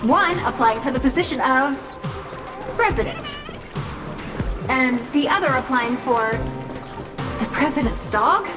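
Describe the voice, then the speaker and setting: An actress playing an efficient female business assistant who reports to her boss in a crisp, brisk way for a game cutscene. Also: high voice, expressive. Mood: scheming, upbeat. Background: game music.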